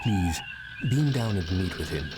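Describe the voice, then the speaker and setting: Low-voiced, courteous planet official. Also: male voice, lively intonation, a little slow, music in the background. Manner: polite, formal. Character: courteous male planetside official greeting visitors. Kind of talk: scripted video game voice acting.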